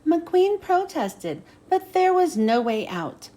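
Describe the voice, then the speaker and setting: A woman reading a children's storybook aloud as an expressive narrator. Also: very high-pitched, takes it slowly. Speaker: storybook reader.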